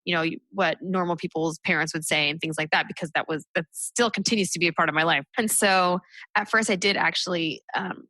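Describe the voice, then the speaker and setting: Quick chatty woman comic, bright voice, lots of likes and you knows. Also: on the low side, steady tone, dry recording, rough recording. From United States. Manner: chatty, full of "like" and "you know" fillers. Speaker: stand-up comic guest. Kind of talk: casual interview chat.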